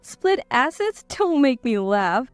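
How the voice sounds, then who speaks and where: A woman's voice: high-pitched, big pitch swings, slow, smooth, dry recording, soft background music, exaggerated voice. A woman voicing a cool-headed, defiant wife in a dramatized story, assertive and cutting. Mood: cool, scornful.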